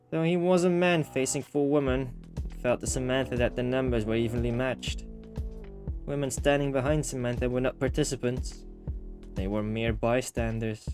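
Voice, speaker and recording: A man reading a visual novel aloud as an expressive storyteller, slipping into casual, wry asides. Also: medium pitch.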